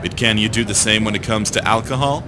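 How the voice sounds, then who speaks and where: A man's voice: fairly low pitch, quick pace, noisy background, natural voice. A man playing a cocky, taunting young pilot in a voiced game, goading and condescending. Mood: challenging. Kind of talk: voiced visual novel game acting. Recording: game music behind the voice.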